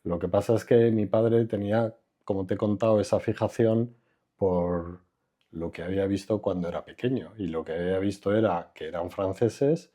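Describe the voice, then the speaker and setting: Low, husky-voiced older man. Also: warm tone. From Madrid, Spain. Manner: reflective, unhurried, trails off with fillers. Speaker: architect, interview guest. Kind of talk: relaxed conversational interview.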